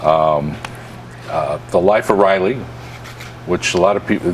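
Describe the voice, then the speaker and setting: Deep-voiced male host, noisy recording. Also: measured pace. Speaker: morning show host. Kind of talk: casual broadcast interview. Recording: studio talk with clear background noise.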